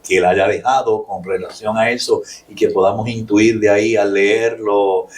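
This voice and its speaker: Low-voiced Puerto Rican friar, off-mic. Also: male voice, flat delivery, distant mic. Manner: measured, explains with many pauses and restarts. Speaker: Capuchin friar and guest. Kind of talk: explanatory interview answers.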